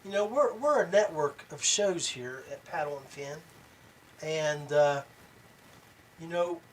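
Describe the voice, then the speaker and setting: A man hosting a podcast solo in casual talk, often pausing partway through his phrases. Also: high voice, a little hiss or hum, low-quality recording. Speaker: podcast host.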